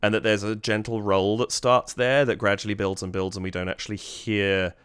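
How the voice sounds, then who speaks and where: Male reaction host, low smooth tone, a bit stuffy nosed, dry close sound. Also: flat delivery, brisk, fairly bright tone, no room sound. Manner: admiring, thinks aloud, hums melody lines. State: slightly stuffy from a cold. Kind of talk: casual music analysis commentary.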